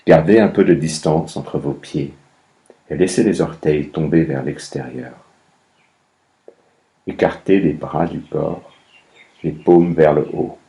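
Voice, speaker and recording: A man guiding a meditation as a yoga nidra instructor, speaking calmly in measured instructions with long pauses between phrases. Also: very deep voice, creaky. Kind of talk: guided meditation, slow instructions.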